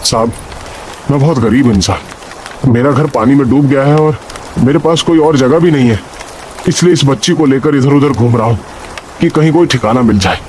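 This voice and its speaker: A man's voice: mid-range voice, moderate pace, long pauses, a little mellow, slight background noise, close to an everyday voice. A man playing a poor, anxious labourer father in a cartoon moral story, with a humble, plaintive delivery. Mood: humble, pleading. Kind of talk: Hindi animated moral story dialogue. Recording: music behind the voice.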